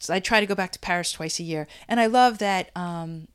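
A woman in her fifties talks in a loose, chatty way, laughing and circling back as she speaks. She sounds relaxed and unscripted. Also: fairly low pitch, fairly quick, smooth. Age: 50s. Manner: chatty, laughing, rambling with restarts. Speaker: beauty brand founder. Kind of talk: casual interview chat. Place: Brooklyn.

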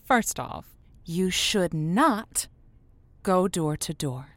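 A woman's voice: lowish voice, expressive, drawn-out words, fairly bright tone, no echo, exaggerated voice. A woman playing a wry late-night lonely hearts radio host in an audio drama. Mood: encouraging, assured. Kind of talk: semi-improvised audio drama, radio call-in show patter.

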